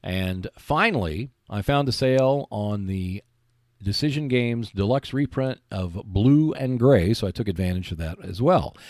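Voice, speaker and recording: A man's voice: on the low side, animated, measured pace, darkish tone, dry recording, studio quality. A man hosting a solo podcast, delivering prepared announcements and commentary. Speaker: podcast host.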